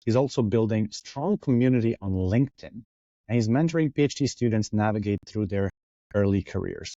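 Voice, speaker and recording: A man's voice: on the low side, lively intonation, a little fast, warm tone, no room sound. A man hosting an interview show, friendly and enthusiastic as he asks his guest questions. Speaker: podcast host. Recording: dull lo-fi audio.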